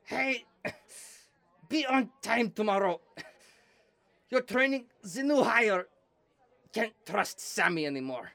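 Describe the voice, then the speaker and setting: A man acting in an audio drama as a gruff, bossy male shop boss giving orders. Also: high voice, expressive, slow, pauses often, bright, forceful tone, no room sound, heavily acted. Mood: stern, bossy. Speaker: actor in a student audio drama.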